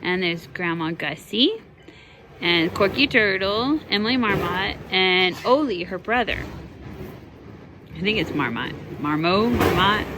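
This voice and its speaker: A woman reading a picture book aloud to her small children as their mother, playfully exclaiming and chatting with them between lines in drawn-out, encouraging reactions. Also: mid-range voice. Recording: noisy home recording with clear background noise.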